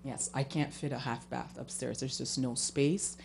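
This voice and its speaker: A woman's voice: rumbling low, fairly even intonation, normal speed, vocal fry, darkish tone. A woman speaking as a homeowner applicant, explaining informally into a handheld microphone at a public meeting. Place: municipal meeting room. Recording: faint background hum.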